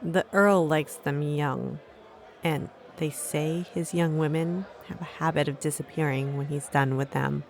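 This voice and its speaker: A woman's voice: low-pitched, fairly even intonation, a little slow, creaky. A woman acting a desperate, earnest street girl of about thirteen in a fantasy audio drama. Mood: desperate, pleading. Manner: pleading, earnest.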